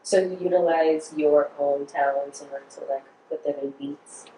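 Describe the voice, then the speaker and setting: A woman's voice: low voice, steady tone, normal speed, dark tone. A woman asking casual questions as an interviewer. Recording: echoey room, distant mic, faint noise.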